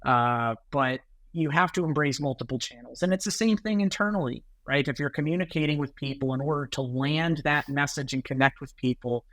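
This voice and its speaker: A middle-aged American man answering interview questions in a relaxed, conversational way, often ending points with "right?". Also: fairly high pitch, a little fast, dry recording. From United States. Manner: conversational, repeats words and tags sentences with "right?". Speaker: guest, sales executive.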